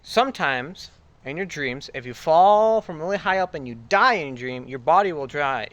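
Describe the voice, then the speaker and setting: A man's voice: fairly high pitch, lively intonation, normal speed, fairly bright tone, dry recording, lo-fi sound. Chatty young male podcast host. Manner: chatty, reacting with short fillers. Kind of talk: casual chat.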